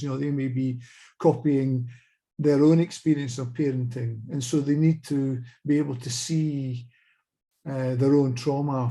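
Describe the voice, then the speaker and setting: A middle-aged Scottish man speaking on a video call, measured and thoughtful, with frequent small restarts, asking a question in a webinar discussion. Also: medium pitch, warm tone. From Scotland.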